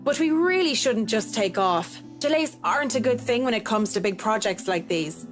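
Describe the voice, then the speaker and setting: A woman's voice: a little high, lively intonation, moderate pace, soft background music, lightly acted. An actress playing a blunt, wry working woman in a video game, frank and casually sardonic. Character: blunt, wry female worker unafraid of her boss. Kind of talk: visual novel voice acting.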